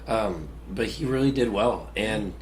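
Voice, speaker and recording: Low, raspy man with a cold. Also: a little slow, slight background noise, poor audio. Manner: relaxed, analytical, hesitant restarts. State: getting over a cold. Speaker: podcast co-host. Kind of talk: casual sports chat.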